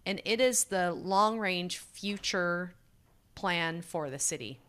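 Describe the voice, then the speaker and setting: A woman and former professor presenting at a public planning workshop into a microphone, loud and confident with light humor. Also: lowish voice, expressive, slow, a little hiss or hum. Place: public meeting room.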